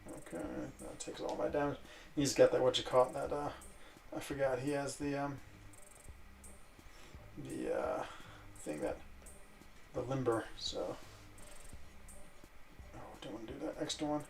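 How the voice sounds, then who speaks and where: A man who grew up in Switzerland, chatting casually and rambling while he plays a video game, breaking off into quick exclamations. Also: mid-range voice, animated, creaky. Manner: rambling, self-interrupting chatter with fillers and sudden exclamations. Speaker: gaming streamer.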